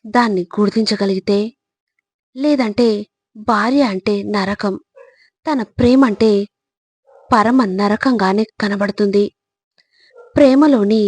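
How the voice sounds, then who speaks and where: Female motivational narrator: husky tone, mid pitch, measured emphatic phrasing, tight dry sound. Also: a little mellow, no room sound.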